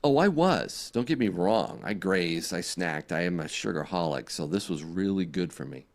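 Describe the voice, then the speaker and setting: A man's voice: low-pitched, moderate pace. A man with a Boston accent, co-hosting a live stream in casual chat, laughing often. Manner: laughs often, lively and chatty. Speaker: co-host.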